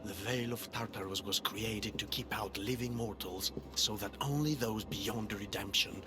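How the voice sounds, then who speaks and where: An actor playing an oily, falsely humble traitor in a game cutscene, speaking formally and smoothly, slipping into near-whispers. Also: male voice, lowish voice, lightly acted. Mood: smooth, scheming. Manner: smooth, ingratiating, formal, deliberate. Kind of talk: video game cutscene acting. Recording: loud music behind.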